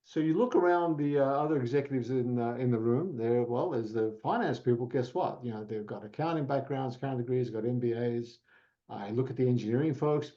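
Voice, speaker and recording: Guest, Australian accent, Italian first language, casual storyteller, muffled lo-fi audio. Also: male voice, medium pitch, mellow. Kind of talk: casual podcast conversation.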